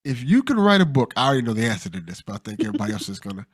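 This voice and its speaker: A man hosts a relaxed podcast interview in a very animated voice that moves freely up and down. He announces things with playful drama and laughs easily. The sound is dry, with no room echo. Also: mid-range voice, very fast, husky. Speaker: podcast host.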